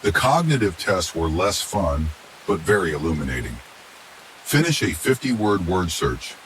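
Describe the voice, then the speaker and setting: A man narrates a first-person horror story in a low-pitched voice. It is a scripted reading, delivered as the storyteller. A clear hiss sits behind his voice. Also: very animated, moderate pace, a bit bright, low-quality recording.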